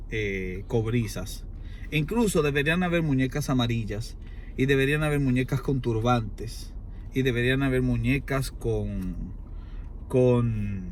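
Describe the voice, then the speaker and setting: A Dominican man with a mid-range voice lays out an argument in conversation. His wording is formal and erudite, his delivery oratorical, leaning on emphatic repetition. There is noticeable noise in the recording. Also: mid-range voice. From Dominican Republic. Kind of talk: extended argumentative explanation in conversation.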